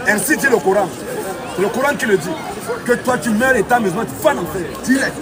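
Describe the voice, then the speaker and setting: A man's voice: high voice, fast. A man preaching in a heated street debate, insistent and combative, repeating his phrases and challenges. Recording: distant mic amid loud outdoor crowd.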